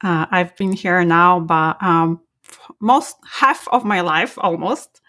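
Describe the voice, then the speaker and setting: A woman's voice: on the low side, slow pace, pushed and bright. A woman, telling her life story as an interview guest with frequent laughter and many filler sounds. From Poland. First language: Polish.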